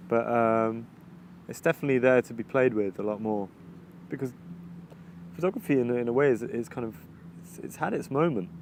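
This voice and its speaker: A man with an English accent, answering interview questions in a halting, reflective way, often restarting sentences while he searches for words. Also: mid-range voice, darkish tone, slight background noise. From England. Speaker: videographer interviewee. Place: outdoors overlooking the ocean.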